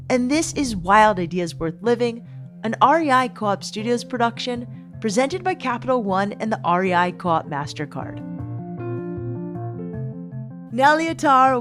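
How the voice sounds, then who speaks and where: A woman hosting a podcast, moving between scripted narration and interview questions. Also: medium pitch. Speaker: podcast host.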